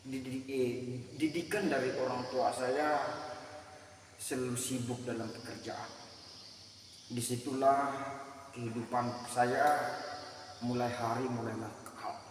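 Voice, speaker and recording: Young man from Central Kalimantan, earnest testimony, slow, in a reverberant hall with faint noise. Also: medium pitch, fairly even intonation. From Central Kalimantan, Indonesia. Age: 20s. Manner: halting, earnest, in short phrases. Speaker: speaker giving a personal testimony. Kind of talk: religious testimony to a congregation. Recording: very echoey hall, mic far off, faint noise.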